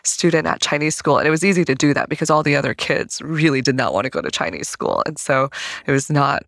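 A woman's voice: extremely low pitch, animated, fast talker, gravelly, fairly bright tone, no echo, studio quality. A woman in her early thirties from Colorado, chatting as a guest on a podcast and laughing lightly between thoughts. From United States (Colorado). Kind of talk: casual interview chat.

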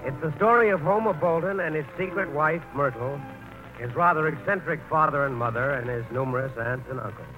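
Muffled 1940s radio, loud music, male narrator, lightly high voice, scripted storytelling delivery. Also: animated, brisk.

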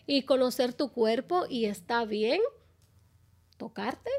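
A woman's voice: a little high, animated, slow, a little mellow. A woman with a Dominican accent hosting a podcast, chatting enthusiastically with her guest. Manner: enthusiastic, affirming interjections. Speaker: podcast host. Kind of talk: casual podcast chat.

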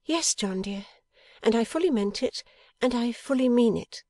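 A woman's voice: on the high side, animated, moderate pace, a little mellow, no room sound, studio quality, pitched above the performer's own voice. A woman voicing a pouting, affectionate young wife in a storybook, coaxing and emphatic. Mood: earnest devotion. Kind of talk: dramatised audiobook character.

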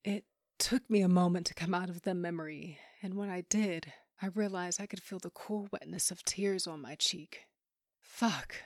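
A woman's voice: fairly low pitch, normal speed, no echo, clean studio sound, natural voice. An actress playing a grieving, bitter widow who hunts monsters, telling her story in an intense audio drama. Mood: wounded, weary. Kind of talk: first-person audio drama narration with acted scenes.

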